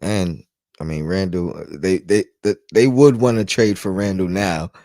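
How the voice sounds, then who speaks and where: A man's voice: low voice, moderate pace, warm tone, dry recording, studio quality. A man co-hosting a casual fan podcast, laid-back and easygoing, often adding "you know what I mean.". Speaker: podcast co-host.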